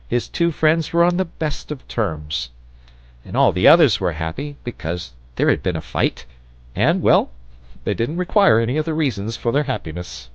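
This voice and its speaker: A man reading a children's story aloud as an audiobook narrator, in an expressive storybook style. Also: medium pitch, no room sound. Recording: dull, lo-fi audio.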